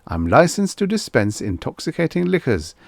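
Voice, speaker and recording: A persistent robot salesman from a science fiction storybook, acted out by a man, pushing its wares with chirpy, emphatic patter. The pitch is lifted and swings in a lively way, in a dry, close sound. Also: normal speed, gravelly, dry recording, heavily acted. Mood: salesy, upbeat.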